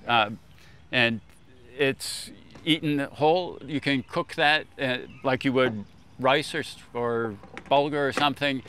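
An older man explaining things informally in a measured way, as an expert guest. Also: medium pitch, a bit bright, slight background noise. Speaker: expert guest, agricultural scientist. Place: outdoors on a lawn beside a food truck.

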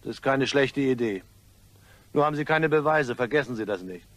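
An actor playing a smug, mock-polite blackmailer in a crime radio play, with a suave and taunting manner. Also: male voice, mid-range voice, animated, brisk, a bit bright, lightly acted. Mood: smug, threatening. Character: smug male blackmailer, mockingly courteous and menacing.

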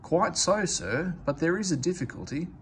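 A deferential railway inspector from a storybook, read by a man. He is polite and a little hesitant, his voice lifted in pitch. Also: expressive, normal speed, slight background noise, close to an everyday voice. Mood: polite, uneasy.

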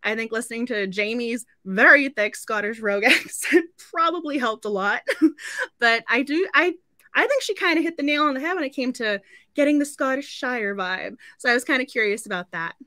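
A woman's voice: on the high side, lively intonation, brisk, sharp tone, no room sound, clean studio sound. A woman in her thirties hosting a casual book club livestream in a gushing, giggly way. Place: indoor room at home.

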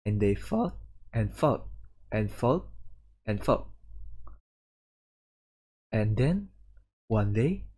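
A man streaming a visual novel playthrough, reading the text aloud with dramatic pauses and his own reactions. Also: lowish voice, sing-song, a little mellow, breathy edge.

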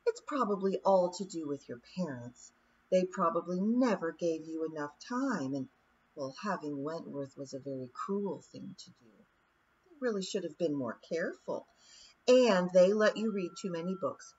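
A woman's voice: fairly low pitch, lively intonation, moderate pace, darkish tone, dull audio, slight background noise, close to an everyday voice. A woman voicing a cold, cruel fairy queen who speaks in a silky, condescending, taunting way in an audiobook. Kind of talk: audiobook character voice.

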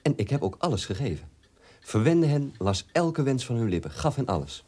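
An actor playing a wounded, unloved grown son in a radio drama, telling it plainly and deliberately. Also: male voice, medium pitch, big pitch swings, a little mellow. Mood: wronged, regretful.

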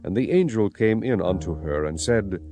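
A man's voice: low-pitched, lively intonation, moderate pace, dark tone. A man narrating scripture dramatically, in a solemn storytelling manner. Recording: music behind the voice.

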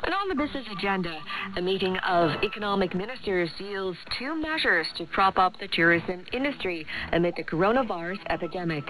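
A woman's voice: medium pitch, lively intonation, a little fast, rough recording. A woman radio presenter reading scripted news on a shortwave broadcast. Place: radio studio, heard over shortwave.

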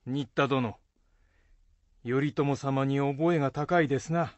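A man's voice: mid-range voice, slow pace, a little mellow, no echo, lightly acted. A man playing a hearty, ambitious samurai lord with bluff archaic speech in a period radio drama. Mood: teasing.

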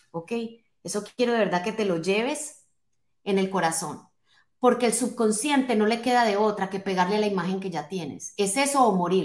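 A woman in her late forties speaks as a motivational coach to an online audience. Her voice sits at a middle pitch and she is emphatic and direct, often checking in with short questions. The recording is echoey, made at a desk in a small home office. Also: fairly bright tone. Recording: roomy, echoing indoor sound.

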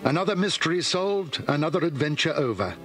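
A man reads scripted narration for a children's story. His voice is a little high for a man, and music plays clearly behind him. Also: animated, fairly quick.

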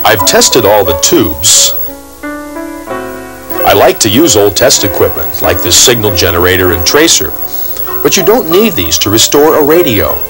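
A man's voice: lowish voice, very animated, measured pace, music in the background, rough recording. A man presenting a hobby show in scripted narration, on a dated 1980s TV soundtrack. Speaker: presenter and radio restoration hobbyist.

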